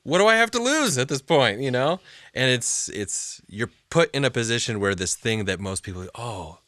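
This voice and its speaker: A man co-hosting a casual faith conversation, animated, laughing often and saying yeah a lot. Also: mid-range voice, normal speed, no room sound. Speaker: co-host of church midweek chat.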